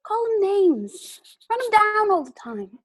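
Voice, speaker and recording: An actor playing a young, burdened hobbit who speaks softly and strains, growing possessive. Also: male voice, very high voice, very animated, measured pace, exaggerated voice. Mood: strained. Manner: soft, strained, turning possessive. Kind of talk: film acting.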